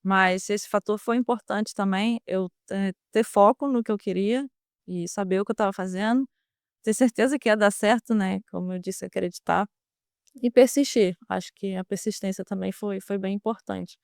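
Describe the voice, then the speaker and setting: A young woman with a Brazilian Portuguese accent answers interview questions in a smooth, clear voice. She talks earnestly, with many fillers and restarts. The sound is dry and close. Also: mid-range voice, fairly even intonation, moderate pace, no echo. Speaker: guest, former student now a merchant navy officer.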